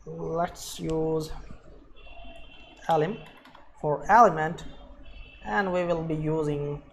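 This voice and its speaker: Man, coding tutorial presenter, very slow halting delivery, slightly high pitch, keyboard typing and faint noise behind. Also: creaky. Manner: halting, thinks aloud while working. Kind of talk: unscripted screencast coding tutorial.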